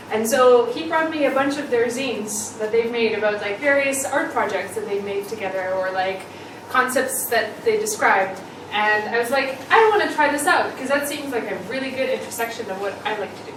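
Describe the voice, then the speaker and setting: A young woman chats through a meetup talk, self-deprecating and quick to laugh, her voice sitting a little high. She is picked up from across a very echoey room, and steady noise sits under the recording. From Ukraine, raised in Canada. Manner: chatty and self-deprecating, with lots of ums and laughs. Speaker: meetup speaker. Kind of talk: casual conference talk. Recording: echoey room, distant mic, noisy.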